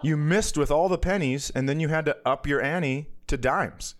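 A man's voice: fairly high pitch, moderate pace. An American man co-hosting a podcast, telling casual comedic stories in a crude, teasing way and laughing readily. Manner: crude, profane, teasing banter. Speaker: podcast co-host.

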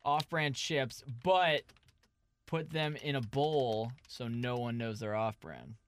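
A man's voice: mid-range voice, takes it slowly, a little hiss or hum. A man co-hosting a podcast, casual comedic chat with silly improvised bits. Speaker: podcast co-host.

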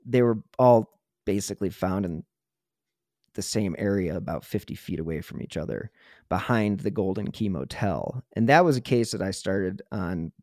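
A man's voice: on the low side, darkish tone, dry recording, clean studio sound. A man hosting a true crime podcast, talking casually in an earnest, opinionated and colloquial way. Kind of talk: casual conversational interview talk.

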